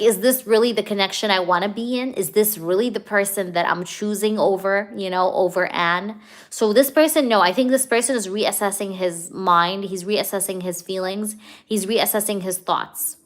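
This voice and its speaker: A woman doing a live tarot reading for a viewer. She talks in a chatty, energetic way, full of "you know" and "okay", and keeps checking whether things make sense to the viewer. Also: mid-range voice, moderate pace. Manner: addresses the viewer directly, asks if things resonate. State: hyper, energetic. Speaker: tarot reader.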